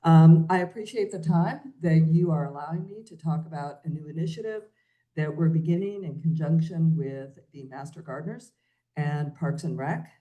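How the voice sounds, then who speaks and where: A woman librarian giving a chatty informal talk, with a big booming voice. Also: normal speed, warm tone, lo-fi sound. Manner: chatty, says she has a booming voice.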